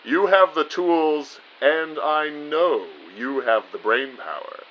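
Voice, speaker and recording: A man's voice: fairly high pitch, takes it slowly, muffled recording. A man playing a genteel, encouraging spy agency boss in an audio drama, with formal and reassuring wording.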